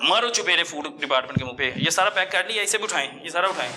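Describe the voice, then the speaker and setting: Male Pakistani TV reporter, Lahore accent, rapid insistent delivery, scolding, repetitive, echoey busy room. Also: fairly high pitch, animated, a crowd in the background. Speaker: TV reporter on a food hygiene raid. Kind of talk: confrontational on-the-spot reporting. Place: small food workshop, on location.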